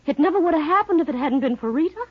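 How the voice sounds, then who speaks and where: An actress with an American accent playing a desperate, jealous wife in a 1950s radio drama, insistent and emotional. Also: female voice, sits high, lively intonation, very fast, slight background noise. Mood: accusing. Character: desperate, jealous young wife, pleading and accusing. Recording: muffled, lo-fi 1950s radio recording.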